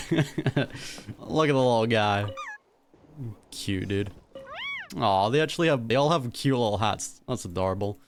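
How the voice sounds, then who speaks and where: A woman's voice: very deep voice, animated, unhurried, long pauses, a little hiss or hum. A woman streamer giving casual, slangy, teasing reaction commentary over a video game. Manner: laughs often, teasing, slangy. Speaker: gaming streamer reacting to a story quest.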